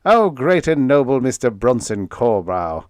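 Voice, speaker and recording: A man's voice: mid-range voice, very animated, a little slow, no echo, clean studio sound. A man voicing a playful, boisterous young male lab assistant in an audiobook, with theatrical mock-grand delivery. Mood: mock-servile. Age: young adult. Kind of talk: audiobook character voice.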